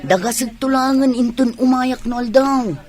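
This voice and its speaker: A woman's voice: high voice, moderate pace, a little mellow, natural voice. A woman playing an affectionate tomboy sweetheart in a comedy radio drama.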